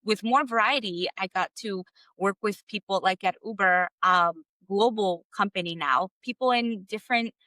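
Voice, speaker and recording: A woman from the United States who grew up speaking Spanish, a guest telling her career story in a relaxed interview, full of fillers and restarts. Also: medium pitch, normal speed, dry recording. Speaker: guest, clarity coach. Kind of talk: conversational interview.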